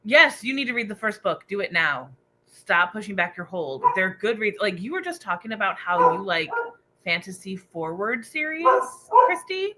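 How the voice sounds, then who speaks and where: Female livestream host, bright and animated, excitable book chatter, faint noise behind. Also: medium pitch, normal speed. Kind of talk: casual livestream chat, reading out viewer comments.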